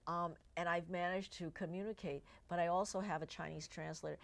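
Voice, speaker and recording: A middle-aged woman with a lowish voice hosts a studio health talk show. Her first language is Cantonese, and she talks in a chatty way, drawing her guests in with little questions. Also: fairly even intonation, brisk, creaky. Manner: warm, chatty, asks guests for agreement. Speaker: eye doctor and show host.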